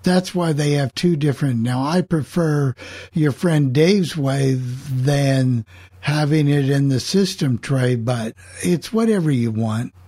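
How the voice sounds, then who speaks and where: A middle-aged man hosts a relaxed group chat in a husky voice. His replies are short and plain-spoken, and the sound is dry and close. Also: mid-range voice, takes it slowly, dry recording. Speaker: host of a computer club call. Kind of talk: casual chat.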